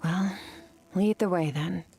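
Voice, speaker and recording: An aloof young female warrior for a game. Her voice sits fairly low, with cool, measured, matter-of-fact delivery. Soft music runs underneath. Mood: calm, detached. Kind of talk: game character acting.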